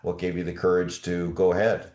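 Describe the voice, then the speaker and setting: Deep-voiced male interview host, echoey room. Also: monotone, brisk, a little mellow. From Canada. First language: German. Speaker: podcast host. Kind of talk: casual video interview.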